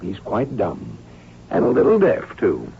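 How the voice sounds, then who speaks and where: Brief: genial retired male crook, older, teasing and courtly, swooping gravelly delivery, vintage radio play. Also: low voice, huge pitch swings, measured pace, heavily acted. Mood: wry. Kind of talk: radio drama acting. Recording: dull, noisy old radio broadcast.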